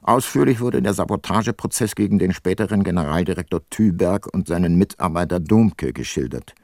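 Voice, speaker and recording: Older male detective-narrator, low register, dry studio sound, measured, remorseful, radio drama. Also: darkish tone, dry recording. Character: ageing male private detective, ex-prosecutor, sober and remorseful. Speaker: narrator and lead character.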